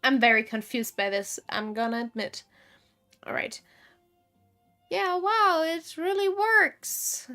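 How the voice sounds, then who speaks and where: A woman giving casual gameplay commentary as a streamer, chatty and thinking aloud in halting bursts. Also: sits high, steady tone, bright, forceful tone, soft background music. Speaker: game streamer.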